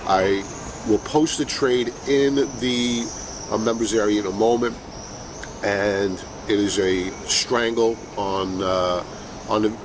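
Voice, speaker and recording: Casting: lower-voiced middle-aged man with a head cold, chatty trading show host, city traffic clearly audible behind. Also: fairly even intonation, slow pace. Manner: conversational, many fillers and restarts. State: has a cold, sniffling. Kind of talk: casual market commentary.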